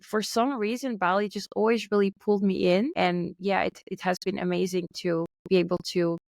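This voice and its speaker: Young woman, friendly storyteller, mid-pitched, dry close sound. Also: fairly even intonation, normal speed, vocal fry, a little mellow, dry recording. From Netherlands. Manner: friendly, storytelling, light laughs. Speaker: podcast guest, entrepreneur. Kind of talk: casual interview conversation. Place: Bali, remote call.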